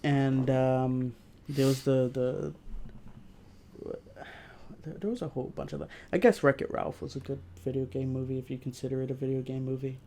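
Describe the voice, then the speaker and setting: A male co-host with plenty of vocal fry gives his opinions in a casual chat. He comes across laid-back and agreeable, talking in a loose, conversational way. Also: mid-range voice, little pitch movement, moderate pace, mellow, a little hiss or hum. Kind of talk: casual chat, film review.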